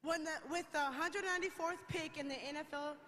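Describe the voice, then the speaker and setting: Bright, high-voiced woman on an echoey stage. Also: fairly even intonation, fairly quick. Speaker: guest pick announcer. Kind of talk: excited stage announcement. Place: large event venue. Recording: reverberant hall with faint noise.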